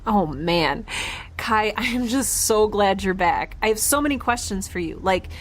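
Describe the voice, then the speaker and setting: A woman playing an excitable, awkward, optimistic young space traveler in a science fiction audio drama, rambling in informal speech. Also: mid-range voice, unhurried. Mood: excited, awkward.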